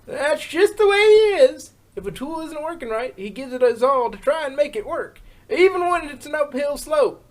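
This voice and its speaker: A woman voicing a kindly elderly farm pony grandmother in a fan fiction reading, with folksy, drawn-out phrasing. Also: high-pitched, animated. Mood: fond, proud. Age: old.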